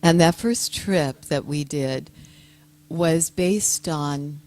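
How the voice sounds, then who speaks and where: An older woman, a guest speaker giving a soft-spoken, gentle and reflective personal talk to an audience. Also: low-pitched.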